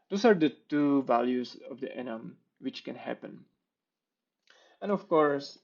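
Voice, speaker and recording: A man who speaks natively. He explains a coding demo in a steady, step-by-step way and sometimes restarts a phrase. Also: mid-range voice, gravelly, muffled recording. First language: Czech. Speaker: conference speaker. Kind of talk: live-coding tech talk.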